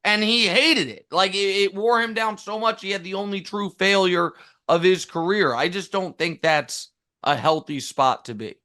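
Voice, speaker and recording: Bright-voiced sports commentator. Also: male voice, sits high. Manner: quick, emphatic, stop-start phrasing. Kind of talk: casual sports talk.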